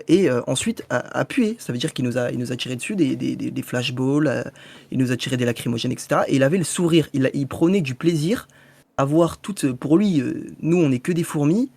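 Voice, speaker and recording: Earnest, argumentative young protester. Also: male voice, on the high side, vocal fry, a little mellow, dry recording. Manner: earnest, argumentative, pressing his point. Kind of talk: debate.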